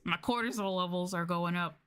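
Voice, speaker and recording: A young adult woman chatting casually on a livestream meant as soft ASMR, in a conversational, apologetic venting way. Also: lowish voice, brisk, smooth. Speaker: streamer, ASMR VTuber.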